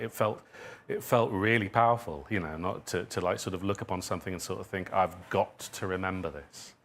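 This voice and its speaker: A man speaking conversationally at a live talk, answering questions in a halting way, circling back over his phrases. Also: fairly low pitch, a bit bright, slight background noise. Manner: halting, circling and repeating phrases, chuckles at own remarks. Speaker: speaker, writer of audio drama. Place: indoor public event venue.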